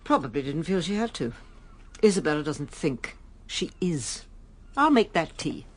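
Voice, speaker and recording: An actress playing a caring, practical sister with an English accent in a radio drama. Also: female voice, sits low, big pitch swings, moderate pace. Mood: matter-of-fact. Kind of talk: British radio drama acting.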